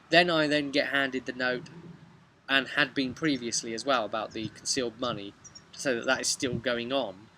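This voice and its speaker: A young man with a British accent casually recounts a tabletop game session as game master, conversational, with emphatic pauses and tag questions. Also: mid-range voice, pushed and bright. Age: young adult. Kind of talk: casual vlog storytelling. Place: outdoors on a bench beside a brick house. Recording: noisy outdoor recording with traffic ambience.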